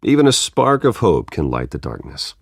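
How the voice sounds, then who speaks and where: Smooth rich philanthropist in a video game, measured and polite, gravelly, pitch moves around a lot. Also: male voice, very animated, dry recording, clean studio sound, heavily acted. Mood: persuasive. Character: reserved wealthy man, smooth charming philanthropist host.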